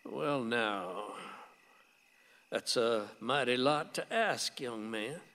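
A man's voice: mid-range voice, lively intonation, drawn-out words, pushed and bright, big-room sound, heavily acted. An actor playing a folksy, stubborn but tender old grandfather in a radio play. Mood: grave reluctance.

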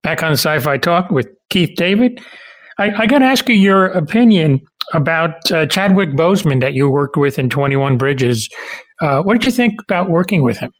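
A man's voice: fairly high pitch, lively intonation, moderate pace, poor audio. Man hosting a casual interview. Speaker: podcast host interviewer.

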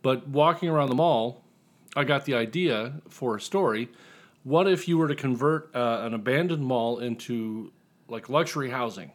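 A man's voice: mid-range voice, normal speed. Man telling wry stories in casual conversation. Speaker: guest author on a podcast. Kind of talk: casual interview storytelling.

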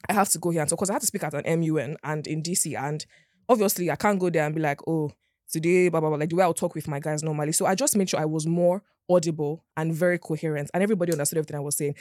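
Low-voiced Nigerian woman, rapid talk. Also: fairly even intonation, a little mellow, no room sound, studio quality. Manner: emphatic, argues her point. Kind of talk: casual panel chat.

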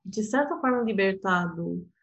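A woman's voice: mid-range voice, expressive, normal speed, vocal fry, fairly bright tone. A woman from Portugal with a European Portuguese accent, an interpreter talking reflectively and personally, often laughing and adding "sabe?". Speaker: interpreter and guest. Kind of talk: reflective casual conversation.

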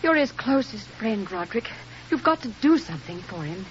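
An actress playing an emotional, indignant, pleading society wife in a radio drama. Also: female voice, medium pitch, big pitch swings, normal speed, natural voice. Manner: melodramatic, emotional. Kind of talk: old radio drama acting. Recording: muffled old radio recording, faint noise.

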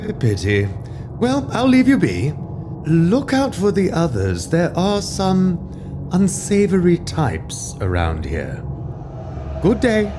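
A courteous, faintly ominous butler from an old estate in an audio drama. His pitch swoops wildly through formal, theatrical phrases, lonely beneath the politeness. Music plays clearly behind him. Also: huge pitch swings, takes it slowly, full character voice. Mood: resigned, ominous.

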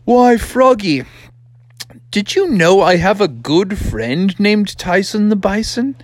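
An excitable cartoon dad frog acted out by a woman storyteller, cheerful and reckless. The voice is pushed well up high and swoops freely, with big drawn-out, carefree exclamations. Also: dry recording. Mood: cheerful, eager.

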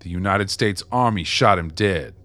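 An actor playing an old captive government agent in a radio play, weary and defiant, with a blunt, dry delivery. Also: male voice, sits low, moderate pace, bright, forceful tone. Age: older.

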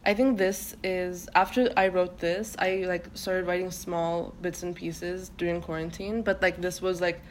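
A young woman from Turkey, a native Turkish speaker, talks through her ideas on a podcast. Her voice sits a little low, and she circles her thoughts with fillers and false starts. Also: level tone, a little slow, hardly any pauses, slight background noise. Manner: hesitant, many fillers and self-restarts. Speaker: guest poet. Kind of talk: casual podcast conversation.

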